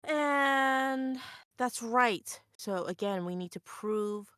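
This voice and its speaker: A woman playing a tabletop game over a video call, speaking in drawn-out phrases that trail off quietly. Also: on the high side, smooth, no room sound, studio quality. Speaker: tabletop player. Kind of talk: in-character improv play over video call.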